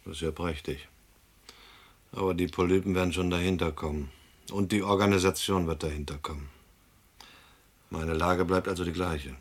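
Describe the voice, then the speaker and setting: A man's voice: deep voice, fairly even intonation, moderate pace, pauses often, husky. An actor playing a wary, resigned male fugitive from the mob in a German radio drama, terse and sardonic. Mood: guarded, resigned.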